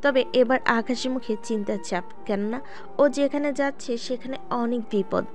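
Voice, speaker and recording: A woman's voice: on the high side, moderate pace. A woman narrating a scripted audio story as its storyteller. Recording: music bed under the voice.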